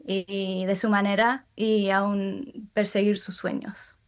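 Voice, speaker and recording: Young woman, gentle interview guest, unhurried and reflective. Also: medium pitch, little pitch movement. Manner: soft-spoken, thoughtful, with frequent pauses and fillers. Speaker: musician guest. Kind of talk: relaxed interview answers.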